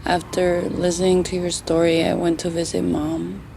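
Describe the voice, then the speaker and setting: Brief: actress as a quiet grandchild, soft and thoughtful, low, warm tone. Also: female voice, completely flat delivery, moderate pace, vocal fry, a little hiss or hum. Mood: reflective. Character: grown grandchild speaking softly at a grave. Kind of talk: scripted audio drama monologue.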